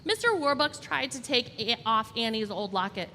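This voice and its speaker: A woman storyteller reading a children's storybook aloud in an expressive, deliberate way. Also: on the high side. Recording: reverberant hall, faint background noise.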